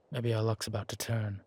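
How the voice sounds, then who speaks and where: A man playing a sardonic, weary vampire in an audio roleplay, speaking in a measured, formal, wry way. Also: fairly low pitch, warm tone, slightly rough. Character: sardonic, weary male vampire, protective of a little companion. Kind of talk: scripted audio roleplay drama.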